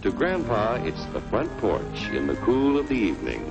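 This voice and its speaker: A man's voice: mid-range voice, huge pitch swings, normal speed, creaky. An American man narrating an old educational cartoon in an earnest, declamatory way. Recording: dull vintage film soundtrack, loud music. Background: loud orchestral music.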